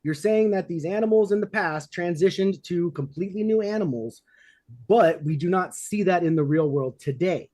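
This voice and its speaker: A man's voice: high voice, normal speed, darkish tone. Insistent man rebutting in a debate. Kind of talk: heated debate.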